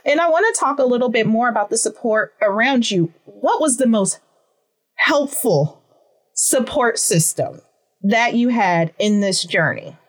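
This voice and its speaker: A woman leads an interview, asking questions and backing up her guest with frequent quick agreements. Her voice sits fairly high. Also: lively intonation. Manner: affirming, with many quick agreements. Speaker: interview host. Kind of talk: conversational interview.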